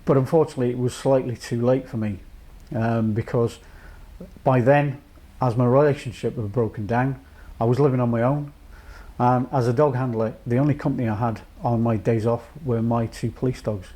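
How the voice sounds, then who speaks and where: A man from North Wales in his late fifties, a charity chief executive giving a measured, reflective personal account with many pauses. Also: medium pitch, steady tone, mellow. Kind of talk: personal testimony to camera.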